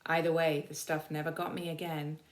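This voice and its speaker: Low-voiced woman reading a storybook, echoey. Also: flat delivery, brisk. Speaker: teacher reading aloud. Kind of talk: expressive children's storybook narration.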